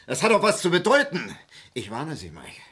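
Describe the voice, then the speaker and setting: A brash, distrustful fellow in a seventies radio play. His husky voice runs on the high side and swings dramatically. He comes across as blustering and ready for a fight. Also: wildly swooping pitch, normal speed, a bit bright, full character voice. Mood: suspicious, threatening. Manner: blustering, confrontational. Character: brash, suspicious male intruder making warnings. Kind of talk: 1970s German radio drama acting.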